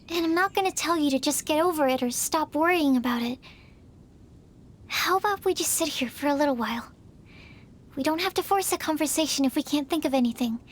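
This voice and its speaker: A woman playing a shy, earnest, awkward young female alchemist in a video game, soft and hesitant. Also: sits high, slight background noise, natural voice. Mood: shy, comforting.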